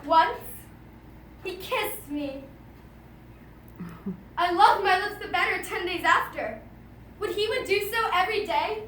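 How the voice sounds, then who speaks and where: A lovesick teenage girl, played by a woman on stage, confides in old verse with impulsive emphasis, swinging between loud and soft. The voice is very high and bright, heard at a distance with echo. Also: lively intonation, takes it slowly, long pauses, full character voice. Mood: infatuated. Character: lovesick, impulsive teenage jailer's daughter confiding her longing. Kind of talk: theatrical classical monologue. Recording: echoey, distant mic, faint noise.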